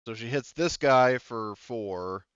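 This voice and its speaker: A man's voice: mid-range voice, slow pace, clean tone, no room sound, dull audio. A man acting as game master in a tabletop roleplaying game, talking through rulings casually.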